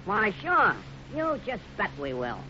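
Sharp-voiced, shady henchman. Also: high voice, wildly swooping pitch, measured pace, exaggerated voice. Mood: eager. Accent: American. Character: eager shady male robber henchman. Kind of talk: 1950s radio serial acting. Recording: muffled old radio recording with hiss.

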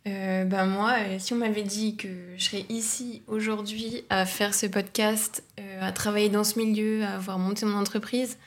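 A woman's voice: medium pitch, level tone, normal speed, hardly any pauses. A young woman in her twenties, a guest in a podcast interview, talking at length about her path with many fillers. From near the Luxembourg border, France. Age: young adult. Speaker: guest, agency co-founder.

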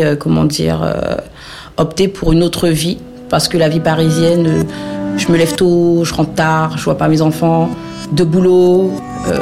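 A woman in her thirties from French Guiana talks through her memories in a halting, reflective way, pausing often to search for words. Her voice has a gravelly edge. Faint music plays quietly under her. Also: deep voice. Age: 30s. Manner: hesitant, many pauses and fillers. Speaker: interviewee. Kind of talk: reflective personal testimony.

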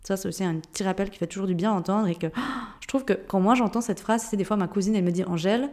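A woman's voice: mid-range voice, fast, darkish tone. A woman from Switzerland with a Jura French accent, hosting a solo mindset podcast and talking straight to the listener in a chatty, informal way. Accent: Swiss French, Jura. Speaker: podcast host and mindset coach.

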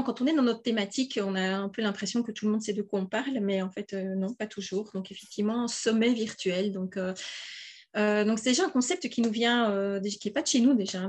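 Woman, Belgian French accent, podcast guest, hesitant, filler-heavy. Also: medium pitch. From southern Belgium. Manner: hesitant, many fillers and restarts. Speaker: podcast guest, event organizer. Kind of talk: casual interview talk.

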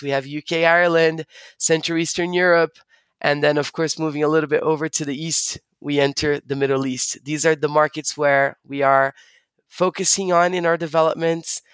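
A man's voice: a little high, fairly even intonation, moderate pace, a bit bright, clean tone, no room sound. A young man from Brazil who also grew up in the United States, explaining his sales work as an interview guest. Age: young adult.

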